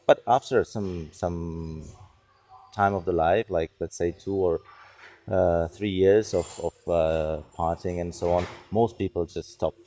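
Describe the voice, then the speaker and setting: A middle-aged man with a Czech accent, a non-native English speaker, answering interview questions conversationally and haltingly as he searches for words. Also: low voice, steady tone, dark tone. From Czech Republic. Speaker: psychiatrist and neuroscience researcher, interview guest.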